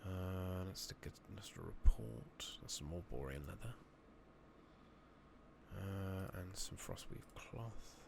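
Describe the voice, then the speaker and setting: A man giving soft-spoken, partly whispered ASMR commentary on a game, muttering and thinking out loud, recorded close on a makeshift mic. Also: sits low, animated, takes it slowly, vocal fry. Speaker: game streamer narrating a playthrough. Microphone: close makeshift microphone. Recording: noisy makeshift mic with clicking.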